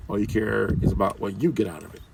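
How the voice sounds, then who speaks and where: A man's voice: on the low side, fast talker, creaky, distant mic, heavy background noise. A man giving an informal, scolding talk with casual slang, often repeating his phrases.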